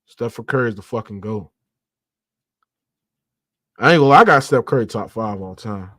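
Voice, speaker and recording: A man's voice: fairly low pitch, animated, moderate pace, a bit bright, dry recording, studio quality. A man hosting a sports debate in slangy casual talk, insistent and argumentative, repeating his points and swearing freely. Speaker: sports debate show host.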